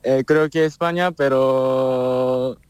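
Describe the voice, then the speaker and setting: Halting young Japanese man, slow and clear. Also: mid-range voice, steady tone, a little mellow, no room sound. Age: late teens. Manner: halting, short simple answers. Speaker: footballer interviewed.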